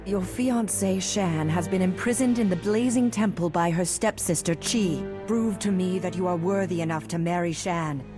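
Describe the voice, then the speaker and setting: A woman as low-voiced, solemn fairy godmother. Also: moderate pace, hardly any pauses, close to an everyday voice. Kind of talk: fairy tale game acting. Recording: music behind the voice.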